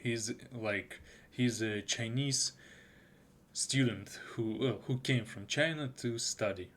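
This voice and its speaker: A young man whose native tongue is Russian talks through an anime review in an unscripted way. He speaks slowly and stops to restart his sentences. His voice sits at a middle pitch. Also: fairly bright tone. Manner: halting, with frequent restarts and fillers. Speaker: YouTuber.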